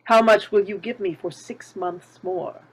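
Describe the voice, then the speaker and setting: A woman's voice: mid-range voice, a little slow, husky, low-quality recording. A woman reading a shrewd, haughty elderly landlady at a table read, probing with each question.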